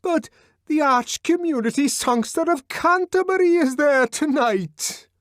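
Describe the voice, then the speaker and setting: Nervous whiny man, high and strained, loud, wheedling, close to tears, dry sound. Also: expressive, a little slow, dry recording. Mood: pleading wail. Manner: wheedling, near tears, shouting. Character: anxious whining man pleading through a door.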